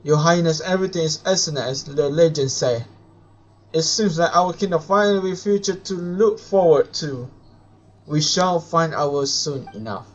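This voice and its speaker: A man who is a non-native English speaker, live streaming a game and reading its dialogue aloud in a halting, stumbling way. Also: on the high side. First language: not English. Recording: dull lo-fi mic with music behind.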